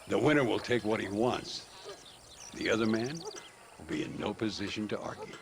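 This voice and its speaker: Gravelly old American gunslinger. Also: male voice, lowish voice, expressive, normal speed. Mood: firm, calming. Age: older. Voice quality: gravelly, creaky. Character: weathered aging gunslinger, sardonic, courtly, boastful yet calming. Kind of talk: video game western acting.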